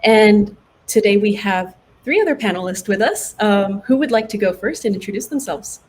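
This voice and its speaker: A woman's voice: medium pitch, lively intonation, normal speed, slight background noise. A woman from Puerto Rico moderating a panel discussion in a conversational style. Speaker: panel moderator, game UX designer.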